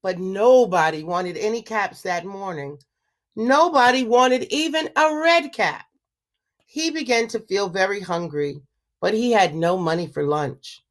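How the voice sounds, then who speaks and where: A woman reading a picture book aloud to children, slow and expressive, pausing to ask them questions. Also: medium pitch. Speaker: children's story reader. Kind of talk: read-aloud storytelling for children.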